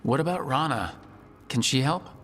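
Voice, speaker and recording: A bright, lively adventurer hero. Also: male voice, mid-range voice, normal speed, long reverb tail, slight background noise. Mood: resolved, wry. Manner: wry, questioning. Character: curious, quippy male adventurer hero. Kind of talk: game dialogue acting.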